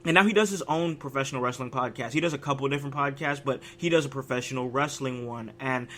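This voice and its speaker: A man hosting a casual podcast chat, sounding raspy from a cold, talking with an animated, hyped-up energy and frequent restarts. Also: mid-range voice, fast, a little hiss or hum. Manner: animated, hype delivery with many restarts. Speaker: podcast host.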